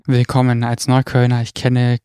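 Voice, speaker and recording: A man co-hosting a podcast in casual conversation, jokey and quick to laugh. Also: medium pitch, completely flat delivery, warm tone, smooth, dry recording, clean studio sound. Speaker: podcast co-host.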